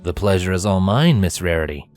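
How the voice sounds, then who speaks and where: Man playing a low, suave gentleman stallion. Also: expressive, faint music behind, close to an everyday voice. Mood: suave, courtly. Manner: courtly, measured, suave. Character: polite, smooth-talking gentleman stallion from high society.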